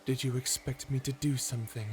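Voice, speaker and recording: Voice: medium pitch, steady tone, a little fast, darkish tone, a little hiss or hum, pitched below the performer's own voice, close to an everyday voice. A performer voicing a cold, edgy male tsundere vampire in an ASMR roleplay, curt and dismissive, hiding fondness. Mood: defensive, dismissive. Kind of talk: ASMR roleplay character acting.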